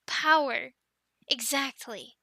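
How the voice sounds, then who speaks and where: A friendly young dragon keeper in a fantasy audio roleplay, acted by a woman. Her high voice has frequent creak and a slow, encouraging delivery. She talks playfully and is recorded close and dry. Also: pushed and bright, no echo, full character voice. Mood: chatty, helpful. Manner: playful, chatty, cooing to animals like pets. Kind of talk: ASMR roleplay, addressing the listener.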